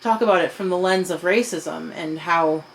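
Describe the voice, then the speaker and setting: Lower-voiced woman with background noise. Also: normal speed, vocal fry, rough recording. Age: adult. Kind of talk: casual conversational discussion with a child.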